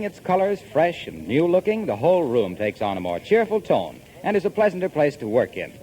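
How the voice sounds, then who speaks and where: A male announcer in the 1940s radio manner, with a slightly high voice and very varied intonation. He sells conversationally from a script, as if chatting to housewives. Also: a little mellow, low-quality recording. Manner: conversational salesmanship addressed to housewives. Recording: vintage 1940s radio broadcast.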